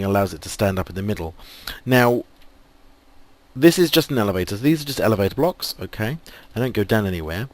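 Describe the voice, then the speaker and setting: An English man commentating casually on his game, thinking aloud with many ums, while losing his voice. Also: on the low side. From England. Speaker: gaming video host. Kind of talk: casual let's play commentary.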